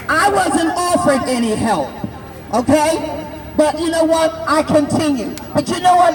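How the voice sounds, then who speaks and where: A woman in her sixties giving an impassioned rally speech outdoors, declaiming in drawn-out phrases and shouting key words for emphasis. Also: high-pitched. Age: 60s. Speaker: rally speaker. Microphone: unamplified, distant camera. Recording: distant, echoey outdoor recording with crowd. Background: crowd noise.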